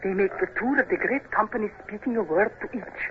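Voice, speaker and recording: A woman's voice: medium pitch, fast talker, lightly acted. Woman acting gracious Russian countess; formal yet teasing; thin, phone-like vintage radio sound with hiss. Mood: coquettish. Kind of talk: old-time radio drama acting. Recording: thin, noisy old radio recording.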